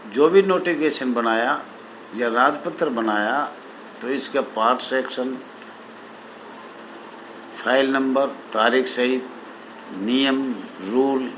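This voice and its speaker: Slow-speaking man giving a formal address. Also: mid-range voice, a bit bright, slight background noise, rough recording. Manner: deliberate, repetitive, formal official phrasing. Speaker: association president addressing members. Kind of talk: informational address to members.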